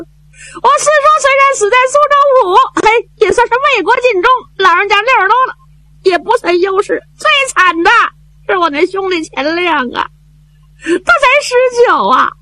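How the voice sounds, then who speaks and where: A man's voice: very high-pitched, pushed and bright, pitched above the performer's own voice, heavily acted. A woman voicing a scheming young man who pleads in a fake-tearful, wailing way in a traditional told story.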